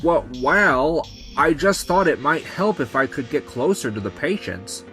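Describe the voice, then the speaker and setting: A man's voice: on the high side, expressive, measured pace, fairly bright tone, soft background music. A man voicing an anxious, stammering young male nurse, insecure and pleading, in a manga dub. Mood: flustered, defensive. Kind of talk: animated romantic-comedy dub acting.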